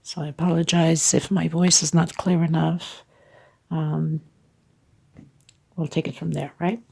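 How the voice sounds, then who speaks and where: A woman with a blocked-up nose gives a casual tutorial. Her voice is lowish and very creaky, with little rise and fall. She explains in an informal, slightly hesitant way. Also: level tone, a little slow, dark tone. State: congested, stuffed-up nose. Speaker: host of crochet tutorials.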